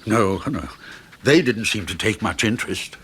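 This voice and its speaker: A British actor playing a modest, apologetic gardener neighbour in a 1970s radio play, hesitant and reserved. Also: male voice, fairly low pitch, expressive, normal speed, fairly bright tone, slight background noise. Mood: modest, surprised.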